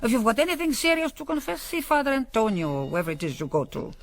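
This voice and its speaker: An actress playing a brisk, worldly older Mother Superior in a radio drama, dry, dismissive and commanding. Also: a little high, big pitch swings, faint music behind. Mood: impatient, dismissive.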